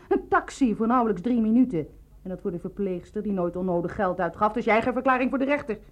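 A woman playing an anxious, determined truth-seeker in a radio drama, by turns pressing and hushed. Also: medium pitch, dry recording, lo-fi sound, natural voice. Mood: accusing, insistent. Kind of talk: radio drama acting with intimate interior monologue.

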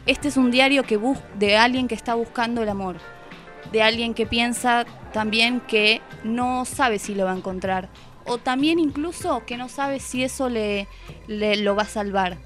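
A woman's voice: a little high, normal speed, fairly bright tone. An Argentine woman giving a conversational book review on the radio, thoughtful and hesitant, rephrasing as she goes. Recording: music playing under the voice. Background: background music.

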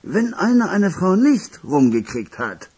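Husky, swooping young charmer. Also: male voice, high-pitched, very animated, unhurried, darkish tone, exaggerated voice. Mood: boastful, excited. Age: young adult. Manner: smooth-talking, teasing, theatrical. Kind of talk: radio crime drama acting.

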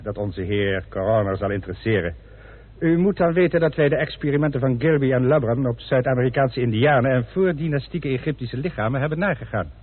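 A self-assured forensic scientist gives formal evidence in a radio drama. His voice is husky and lively, lecturing with precision. The old recording is narrow and muffled. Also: mid-range voice. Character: exacting, self-assured male forensic expert testifying. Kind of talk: radio play acting.

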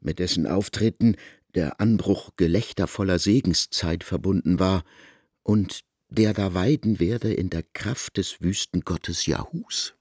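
A man's voice: low voice, a little slow, darkish tone, slightly rough, no echo, clean studio sound, heavily acted. A man narrating a literary radio drama as a stately, wry storyteller, articulate in his delivery.

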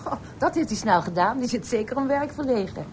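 A woman's voice: on the high side, expressive, fairly quick, darkish tone, a little hiss or hum, close to an everyday voice. A woman playing an inquisitive, plain-spoken police constable in a radio drama. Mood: curious.

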